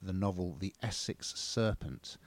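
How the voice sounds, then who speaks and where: A man's voice: sits low, unhurried. A man co-hosting a TV and film review show, chatting with dry, wry humour. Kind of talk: casual review chat.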